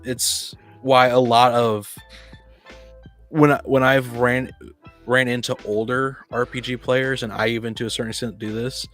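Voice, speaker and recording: Male podcast co-host, mid-pitched, halting and rephrasing as he goes, casual hobby chat over soft music. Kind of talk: casual discussion of tabletop roleplaying.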